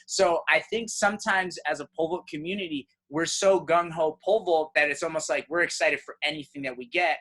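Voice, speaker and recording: Bright-voiced podcast host from Serbia. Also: male voice, fairly high pitch, fairly quick, few pauses. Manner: animated, conversational, says "you know" often. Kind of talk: casual podcast conversation.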